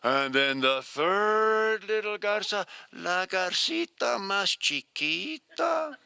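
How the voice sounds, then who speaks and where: An older man telling a story live to an audience, slowly and expressively in drawn-out phrases. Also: sits high, very animated, gravelly, bright, forceful tone, clear, resonant tone, no echo.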